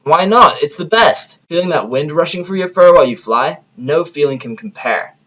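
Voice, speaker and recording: A man's voice: on the high side, lively intonation, moderate pace, fairly bright tone, pitched above the performer's own voice, low-quality recording. A man voicing a brash, playful female pegasus pony in an audio story, teasing and smug. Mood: exultant. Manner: teasing, exuberant.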